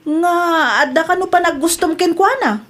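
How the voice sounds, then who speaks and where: A woman's voice: extremely high pitch, moderate pace. A woman with an Ilocano accent voicing a sensible female friend who teases and chides in an audio drama. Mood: teasing.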